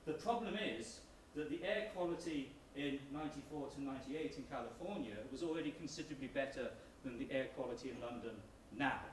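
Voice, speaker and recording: A man's voice: medium pitch, fairly quick, few pauses. A man giving a persuasive public presentation as an academic researcher, speaking emphatically. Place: large indoor room at a public meeting. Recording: roomy hall, distant mic, background noise.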